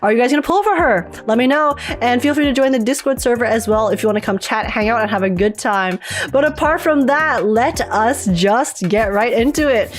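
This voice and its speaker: Excitable high-voiced woman livestreaming. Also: brisk. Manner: excitable, self-teasing, repeats phrases for emphasis. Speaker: livestream host and gaming reactor. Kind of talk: casual livestream chat and trailer reaction.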